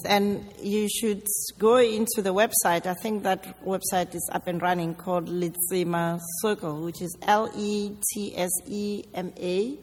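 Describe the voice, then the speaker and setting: A woman with a South African accent answering audience questions at a public talk, deliberate and emphatic, with rhetorical questions. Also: medium pitch. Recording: echoey hall with long reverb.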